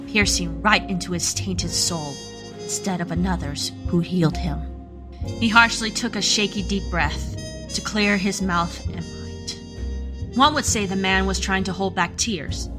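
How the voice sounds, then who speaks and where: A woman's voice: fairly low pitch, lightly acted. A woman reading scripted third-person narration as the storyteller of a noir mystery radio play.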